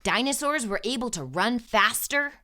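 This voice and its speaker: A woman reading a storybook aloud to young children, with expressive, emphatic delivery and deliberate phrasing. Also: fairly high pitch, very noisy.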